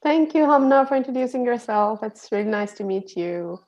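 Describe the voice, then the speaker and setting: A woman's voice: fairly high pitch, expressive, normal speed, darkish tone, muffled recording. Friendly Bangladeshi woman facilitator on a video call, casual and chatty. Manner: friendly, chatty, laughs often. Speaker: online program facilitator.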